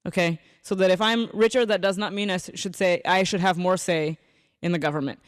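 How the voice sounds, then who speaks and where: Earnest high-voiced man, hesitant. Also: fairly even intonation, a little fast, no room sound, studio quality. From Bangladesh. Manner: earnest, hesitant, restarts often. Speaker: protest organizer, radio guest. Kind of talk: debating on talk radio.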